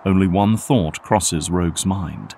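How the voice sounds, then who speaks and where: A man's voice: deep voice, a little slow, vocal fry, warm tone, slight background noise. A man narrating a scripted audio drama as its storyteller.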